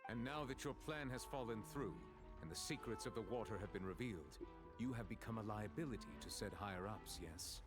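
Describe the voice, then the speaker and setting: An actor playing a stern, formal judge in a video game, measured and commanding. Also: male voice, lowish voice, close to an everyday voice. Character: stern, formal, authoritative male judge of a court. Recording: game music clearly behind.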